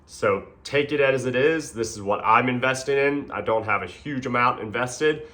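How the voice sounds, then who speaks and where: Congested nasal man, bright voice. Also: medium pitch, moderate pace. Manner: relaxed and hesitant, with frequent ums and throat clearing. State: congested, nasal from a sinus infection. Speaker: video creator. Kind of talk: casual explainer talk.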